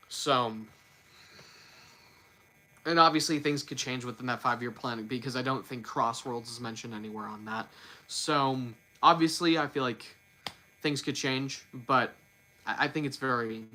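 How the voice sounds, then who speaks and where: Bright-voiced man chatting with constant restarts. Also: medium pitch. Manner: stumbles and repeats words, quick restarts. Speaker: livestream host. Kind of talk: casual livestream chat.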